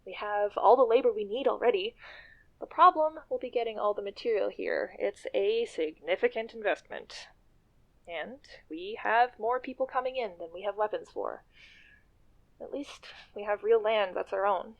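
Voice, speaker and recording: A woman's voice: medium pitch, normal speed, creaky. A woman playing an outspoken, ambitious female activist leader in a naturalistic radio play, on a dull recording. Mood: weary, exasperated.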